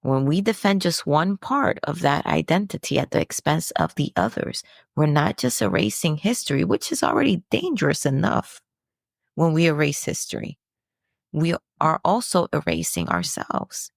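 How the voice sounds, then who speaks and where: A woman from the Dominican Republic hosts her own podcast, sharing a personal reflection with earnest conviction. Her voice is low and gravelly, and she takes her time. The sound is close and dry, with no room around it. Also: very animated, moderate pace, dry recording. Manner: earnest, preachy, asks rhetorical questions. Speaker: podcast host.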